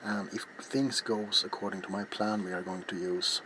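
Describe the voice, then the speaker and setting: A middle-aged man narrating a craft in soft ASMR style, hushed and unhurried, often slipping into a whisper. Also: lowish voice, steady tone. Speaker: ASMR crafting video host. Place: small cluttered indoor workshop. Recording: loud background noise, handling clicks.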